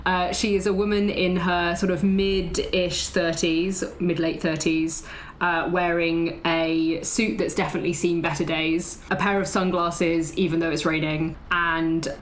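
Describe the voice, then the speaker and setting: Lowish-voiced woman player in a roleplay game. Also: level tone, unhurried, slight background noise. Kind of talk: casual improvised character description.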